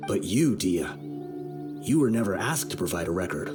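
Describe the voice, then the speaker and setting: A man acting a weary, measured desert brigand who explains things gravely in a video game. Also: low-pitched, very animated, music in the background. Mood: grave, explaining.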